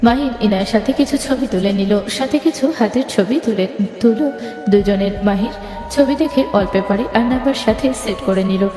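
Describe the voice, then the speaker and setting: A woman's voice: medium pitch, normal speed, dark tone. Woman narrating a story with heavy echo. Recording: echoey reverberant hall, music underneath.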